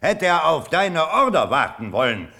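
An actor playing a loyal old cavalry colonel in a classical radio drama, earnest and formal, deferential yet stubborn. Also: male voice, fairly high pitch, very animated, normal speed, gravelly, pushed and bright, exaggerated voice. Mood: defiant, impassioned. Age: older. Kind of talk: classical German stage verse radio drama.

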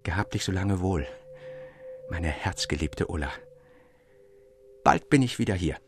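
A man's voice: low voice, animated, unhurried, creaky, noisy background, voice pushed down, exaggerated voice. Man voicing an entranced young bridegroom, wavering and fervent. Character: feverish, obsessed young miner bridegroom, tender and entranced.

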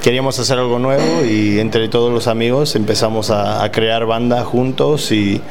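Argentine-born vocalist, halting and chatty, recorded against a loud crowd. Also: male voice, mid-range voice, fairly even intonation. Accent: Argentine Spanish mixed with Californian influences. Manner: hesitant, many fillers, laughs easily. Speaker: band vocalist. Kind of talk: casual interview. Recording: noisy crowd in the background.

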